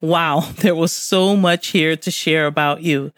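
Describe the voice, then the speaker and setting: A woman's voice: low-pitched, steady tone, unhurried, no room sound. A woman hosting an interview, reading a scripted introduction of her guest and then asking him questions. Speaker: host.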